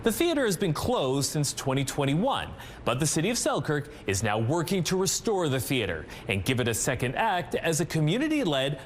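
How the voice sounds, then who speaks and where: A male Canadian news anchor reads from a script in a fairly high voice with very animated intonation. A faint rumble of noise sits under the studio delivery.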